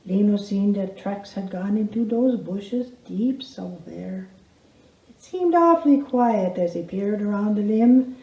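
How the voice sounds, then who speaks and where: Mellow woman reciting a folksy comic poem. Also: medium pitch, lively intonation, measured pace. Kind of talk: rhymed folksy storytelling, performed recitation. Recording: roomy echo, dull sound.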